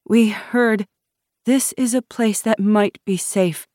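A frightened refugee woman as an audiobook narrator acts her out, pleading in a raised pitch. Her phrases are drawn out, and the recording is dry and close. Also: fairly even intonation, slow pace, a little mellow, no room sound, lightly acted. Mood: shaken, fearful. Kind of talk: audiobook character dialogue.

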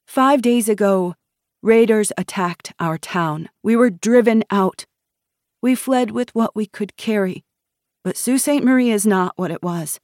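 A narrator voicing a frightened, pleading refugee woman in an audiobook, with drawn-out phrases. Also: medium pitch, a little mellow, dry recording, studio quality, voice pushed up, lightly acted. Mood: shaken, fearful. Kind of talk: audiobook character dialogue.

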